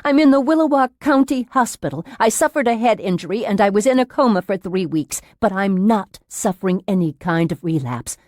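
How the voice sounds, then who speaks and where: A woman reading an audiobook as a frightened, defiant, angry woman patient, speaking in forceful, emphatic outbursts. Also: medium pitch, animated, fairly quick, hardly any pauses, dry recording, clean studio sound, close to an everyday voice. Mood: angry, frustrated. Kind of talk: dramatised audiobook narration.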